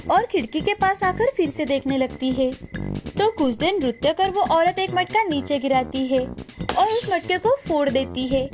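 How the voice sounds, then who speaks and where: High-pitched woman story narrator over music. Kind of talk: scripted moral story narration.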